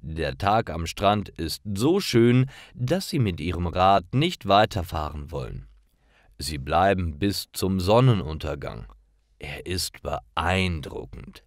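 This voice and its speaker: A man narrating scripted stories for language learners in a deliberate, clearly enunciated, expressive way. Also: sits low, dry recording, studio quality.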